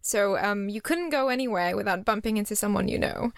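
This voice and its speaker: Young woman interview guest from singapore, lots of ums, a bit of fry, dry sound. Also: mid-range voice, brisk, no room sound. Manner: chatty, many fillers and restarts. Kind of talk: casual interview chat.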